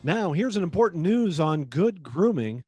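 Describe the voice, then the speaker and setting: A man playing a mellow, lively old-time radio announcer. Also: high voice, normal speed, husky, no echo, faint music behind, exaggerated voice. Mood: earnest selling. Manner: polished, emphatic sales delivery. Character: earnest male sponsor announcer on vintage radio show. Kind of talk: 1940s radio commercial announcing.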